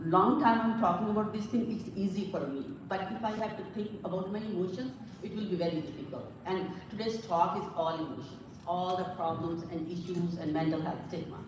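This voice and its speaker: A woman's voice: on the low side, a little fast, hardly any pauses. Pakistani-accented woman in an echoey hall. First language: Punjabi. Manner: earnest, repeats points, mixes in Urdu phrases. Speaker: psychologist giving a community talk. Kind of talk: informal talk to a live audience. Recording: echoey hall from far off with room noise.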